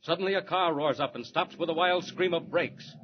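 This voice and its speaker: A man's voice: fairly high pitch, brisk. A man narrating a 1940s radio serial with urgent, dramatic delivery. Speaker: announcer and narrator. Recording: muffled old radio recording with hiss.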